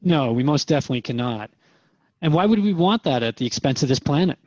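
A man's voice: on the high side, lively intonation, fast talker, a little mellow, dry recording, lo-fi sound. A man playing an earnest, inquisitive human seeker in a radio drama, talking conversationally and a little hesitantly. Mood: earnest, reflective. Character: earnest, questioning human man seeking hope for planet.

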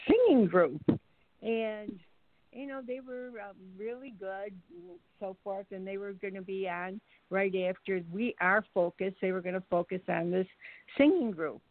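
An older woman telling stories casually as a podcast guest, speaking haltingly and searching for her words. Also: mid-range voice, dry recording. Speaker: podcast guest, mother of the host. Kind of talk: casual anecdotal storytelling. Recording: thin phone-like line.

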